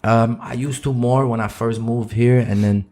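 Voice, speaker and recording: A man's voice: medium pitch, steady tone, normal speed, darkish tone. A man raised in the Netherlands whose first language is Dutch, telling stories in a rambling, laid-back way as a podcast guest. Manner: laid-back, rambling storytelling with many fillers. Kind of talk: casual interview chat.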